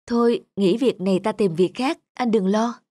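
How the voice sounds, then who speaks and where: A woman playing a gentle, supportive young wife in a cartoon, speaking in a soothing, reassuring way. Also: medium pitch, normal speed, no echo, clean studio sound, close to an everyday voice. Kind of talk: cartoon dubbing. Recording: music behind the voice.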